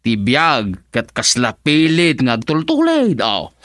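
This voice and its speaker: A man's voice: medium pitch, huge pitch swings, takes it slowly, a bit bright, dry recording, studio quality, full character voice. An actor playing a joking male friend and drinking buddy who teases his pal, in a radio drama. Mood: teasing. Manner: teasing, laughs often.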